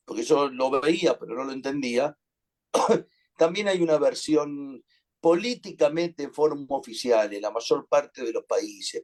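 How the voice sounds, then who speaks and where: A man's voice: mid-range voice, a little mellow. A man with an Argentine accent leading an online class, speaking in an earnest, reflective way that halts at times. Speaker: teacher.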